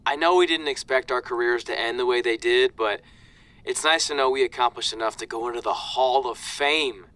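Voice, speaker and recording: An actor in a video game cutscene playing a cheerful, goofy retired wrestler who now hosts TV, with an excitable, playful manner. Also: male voice, medium pitch, a little fast, lightly acted, low-quality recording. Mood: warm, pleased.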